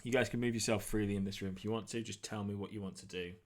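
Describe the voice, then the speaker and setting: A man narrating a tabletop role-playing game as game master, describing things in a halting, improvised, conversational way. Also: lowish voice, fairly even intonation.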